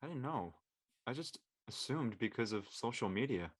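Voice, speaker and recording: A young man acting a casual, youthful high-school boy in an online drama. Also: animated, normal speed, slightly rough, no echo, studio quality. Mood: apologetic, sincere. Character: high-school boy, brash but soft-hearted friend.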